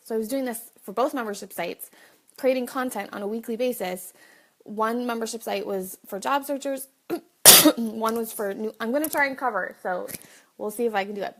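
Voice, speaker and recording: A woman's voice: a little high, a bit bright. A woman hosting a casual live video, chatting directly to viewers, with a slight cough. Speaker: livestream host.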